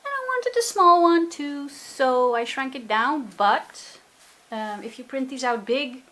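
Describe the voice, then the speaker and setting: A woman's voice: sits high, animated, unhurried, pushed and bright. A woman casually narrating a craft journal flip-through, chatty and gushing, often talking to herself. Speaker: craft video maker. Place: indoors at a table.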